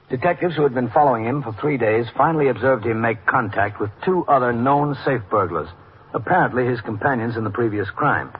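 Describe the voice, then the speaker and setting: An actor playing a weary, businesslike police captain in a matter-of-fact, clipped classic radio drama style. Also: male voice, medium pitch, close to an everyday voice. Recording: narrow, noisy old radio recording.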